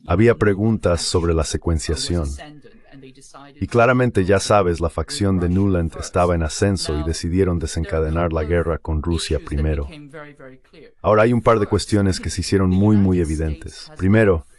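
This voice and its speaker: A man's voice: sits low, moderate pace. A man giving geopolitical analysis as a guest, in a dubbed voice-over.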